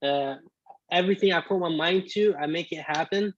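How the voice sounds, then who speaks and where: A man in his twenties, born in Iraq with Arabic as his first language, telling his story as a guest in a casual interview, halting with many restarts. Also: on the high side, no echo, dull audio. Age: 20s. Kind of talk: casual video-call interview.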